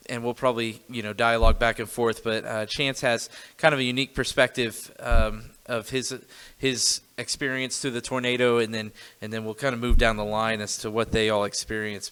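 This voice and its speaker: Young male host, crisp and bright, halting delivery full of fillers. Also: mid-range voice, steady tone. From Joplin, Missouri. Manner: hesitant, many fillers and restarts. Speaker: podcast host. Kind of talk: casual church podcast conversation.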